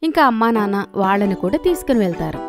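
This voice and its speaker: A woman's voice: mid-range voice, very animated, rapid-fire, over music. A woman reading scripted narration for a children's story in speech.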